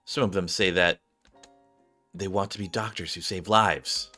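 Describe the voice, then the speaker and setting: Game narrator: earnest young dreamer acted out by a man, wistful storytelling, low-pitched, music behind. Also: steady tone, measured pace, sharp tone. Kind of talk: read-aloud scripted game narration.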